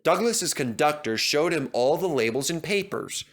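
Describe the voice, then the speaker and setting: A man's voice: on the high side, animated, moderate pace. A man narrating a children's storybook as a dramatic storyteller.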